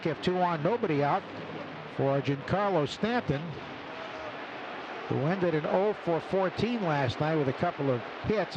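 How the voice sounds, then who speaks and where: Man, baseball play-by-play, radio commentary, somewhat high pitch, clipped phrasing, noisy outdoor ballpark. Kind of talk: live radio sports commentary. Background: steady ballpark noise.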